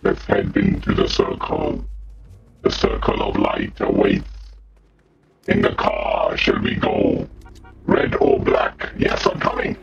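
Abyssally deep, gravelly demon. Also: male voice, big pitch swings, soft background music, heavily acted. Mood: gloating, playful. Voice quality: guttural, growling, gravelly. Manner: slow, theatrical, drawn-out phrases with evil laughs. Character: menacing deep demon, gleeful and bloodthirsty. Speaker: gamer. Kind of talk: video game commentary to squadmates. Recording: muffled lo-fi audio with clear noise.

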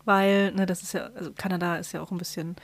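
A woman's voice: fairly low pitch, little pitch movement, quick pace. A woman speaking casually as a podcast co-host, retelling things in a colloquial way. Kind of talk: casual chat.

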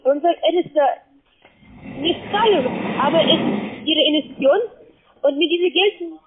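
Female call-center agent, high voice, foreign accent, non-native broken phrasing, halting scripted pitch, tinny phone audio. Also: noisy background. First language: not German. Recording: tinny, narrowband phone line.

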